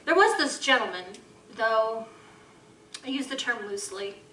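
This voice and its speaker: A woman's voice: a little high, animated, a little slow, sharp tone. An actress playing a sly, streetwise woman of the night in a live audio drama, speaking in a teasing, knowing manner. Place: live convention stage. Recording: echoey stage from far off, faint noise.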